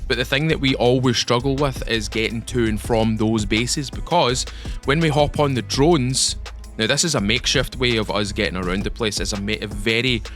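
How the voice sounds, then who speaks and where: A man's voice: medium pitch, normal speed, fairly bright tone, soft background music. A young Scottish man giving a rambling, enthusiastic update on a game for his channel. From Scotland. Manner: rambling, enthusiastic, repeats himself. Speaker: gaming YouTuber. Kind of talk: casual game update commentary.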